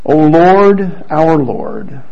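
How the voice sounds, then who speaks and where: A man preaching in a slow, deliberate way, with a gravelly edge to his voice. He reads scripture earnestly and often pauses to restart his phrasing. The recording is dull and lo-fi. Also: on the high side, dark tone. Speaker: pastor. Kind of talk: sermon with scripture reading.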